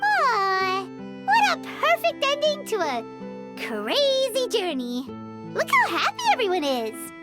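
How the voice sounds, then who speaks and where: A tiny, sweet video game companion with a squeaky, childlike voice pitched extremely high. The delivery is slow, naive and exclamatory. Loud music plays underneath. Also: female voice, wildly swooping pitch, exaggerated voice. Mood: delighted. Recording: music playing behind the voice.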